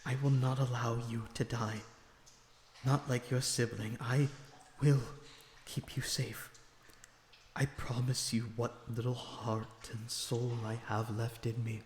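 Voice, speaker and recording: Casting: male actor as a tender vampire prisoner for audio roleplay, pleading softly and slowly, roomy echo. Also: mid-range voice, level tone, slight background noise, close to an everyday voice. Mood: pleading, reassuring. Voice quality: breathy, often dropping to a whisper. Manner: soothing, pleading, slightly formal phrasing. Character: lonesome, gentle, earnest male vampire prisoner.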